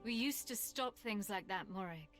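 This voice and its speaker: A woman's voice: medium pitch, expressive, moderate pace, close to an everyday voice. An actress playing a world-weary but principled and loyal female assassin in a video game. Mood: weary, regretful. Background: game music.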